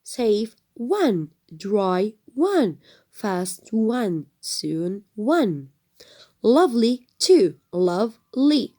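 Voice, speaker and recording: A woman teaching an English grammar lesson, explaining patiently with frequent fillers and trailing into a soft whisper at phrase ends. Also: medium pitch, sing-song, drawn-out words. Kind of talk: recorded textbook lesson.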